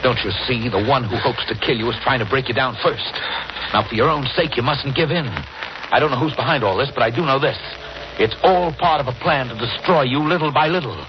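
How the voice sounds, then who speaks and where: A man's voice: mid-range voice, a little fast, close to an everyday voice. An actor playing an urgent, impatient, domineering man in a 1940s radio mystery, brusque and insistently persuasive. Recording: noisy, muffled old radio recording.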